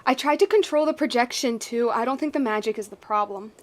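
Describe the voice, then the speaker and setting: A woman streaming a video game with casual, slangy, excitable commentary. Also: on the high side, a little fast. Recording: music behind the voice. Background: game music.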